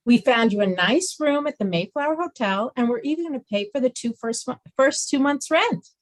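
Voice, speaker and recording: A woman's voice: high-pitched, animated, fairly quick. A woman playing a coddling, sweetly placating mother of a grown son in a comedy table read. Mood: gently firm. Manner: sweetly coaxing, protective.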